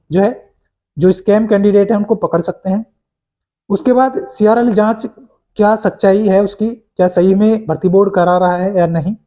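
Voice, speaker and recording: A high-voiced man gives an informal news update, addressing viewers directly. His tone is soft-edged and mellow, and he circles back over his points with plenty of English words mixed in. The recording is dull and lo-fi. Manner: repetitive, mixes in many English words. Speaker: host of exam news updates for job candidates. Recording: dull, muffled lo-fi sound.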